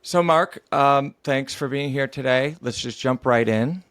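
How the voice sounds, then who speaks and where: A man's voice: medium pitch, measured pace, a bit bright, no room sound, studio quality. A man interviewing a guest, asking questions hesitantly and rephrasing them with fillers.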